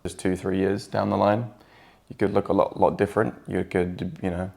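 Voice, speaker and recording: An English man talking casually with his partner, relaxed and hesitant, with many fillers. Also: low-pitched, normal speed, gravelly. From England. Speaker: guest partner. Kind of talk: casual chat.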